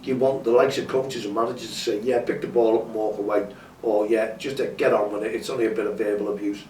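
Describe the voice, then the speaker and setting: A man's voice: lowish voice, fairly even intonation, fast talker, darkish tone, distant mic, slight background noise. A man hosting a campaign update, bunged up with a cold and sore throat, making an earnest, pleading appeal that often repeats itself. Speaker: campaign host. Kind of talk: impassioned appeal to camera. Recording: echoey, mic some way off, faint ambience.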